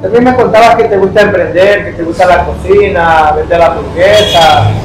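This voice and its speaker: A man interviewing and gently questioning a guest, often repeating words as he goes. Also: high-pitched, normal speed. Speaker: interviewer giving money. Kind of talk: scripted charity interview. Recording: echoey room with music behind.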